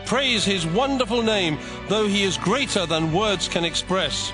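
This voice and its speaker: An actor playing a devout Levite priest leading public prayer in a declamatory, reverent dramatized scripture reading. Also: male voice, high voice, unhurried, over music. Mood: exultant praise.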